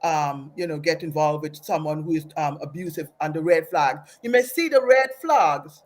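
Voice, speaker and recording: A woman's voice: low-pitched, moderate pace. A woman from Aruba, raised in Trinidad, telling her personal story as an interview guest in an earnest, reflective way and often restarting phrases.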